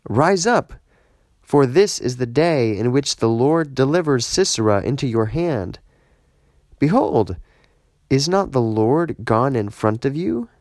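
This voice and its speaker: Man reading as a commanding prophetess, slow and emphatic. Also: medium pitch, animated, a little mellow, dry recording, clean studio sound, pitched above the performer's own voice. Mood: urgent, rousing. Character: commanding prophetess urging a warrior to battle.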